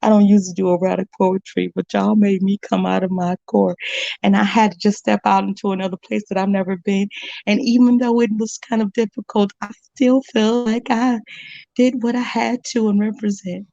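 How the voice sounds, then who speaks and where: A woman's voice: mid-range voice, flat delivery, moderate pace, gravelly, mellow, no room sound. A middle-aged woman performing spoken word poetry with a bold, sassy, rhythmic delivery. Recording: dull, dry, lo-fi sound.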